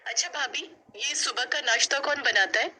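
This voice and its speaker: A woman's voice: high-pitched, moderate pace, pushed and bright, slightly rough, voice pushed up, heavily acted. A woman voicing a young, emotional newlywed daughter-in-law in a family story. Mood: eager, polite. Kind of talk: animated storybook acting.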